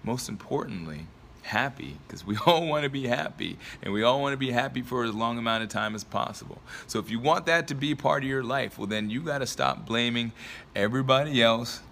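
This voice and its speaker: Slightly raspy young man, bright tone. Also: mid-range voice, brisk, a little hiss or hum. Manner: rambling, filler-heavy, laughs often. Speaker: motivational vlogger and podcaster. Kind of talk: casual motivational talk to camera.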